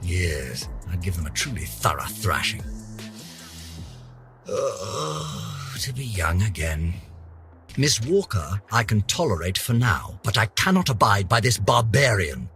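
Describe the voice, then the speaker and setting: A man's voice: sits low, very animated, slow, fairly bright tone, faint music behind, full character voice. An actor voicing a pompous, vain older British scientist, theatrically narrating his journal for a game with haughty, florid melodrama. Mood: brooding, then haughty disdain. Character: pompous, vain, aging male scientist, indignant and obsessive.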